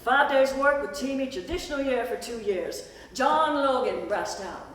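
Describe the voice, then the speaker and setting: A woman's voice: high-pitched, moderate pace, a bit bright. Woman playing plain-spoken villager, distant and echoey. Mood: proud, declarative. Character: plain-spoken rural townsfolk pledging to help. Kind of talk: scripted stage play recitation. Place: stage performance at a festival. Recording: echoey hall, distant mic, noisy.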